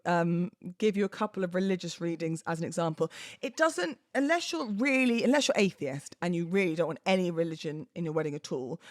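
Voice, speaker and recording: A woman's voice: on the low side, no room sound, clean studio sound. A woman hosting a podcast solo, talking informally and conversationally in a self-deprecating way. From United Kingdom. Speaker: podcast host. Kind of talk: chatty solo podcast with readings aloud.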